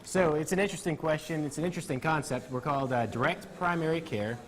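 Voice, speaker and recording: A man, a doctor, answering questions in a TV interview and explaining his practice in a steady, explanatory way. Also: on the high side, fast. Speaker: physician guest.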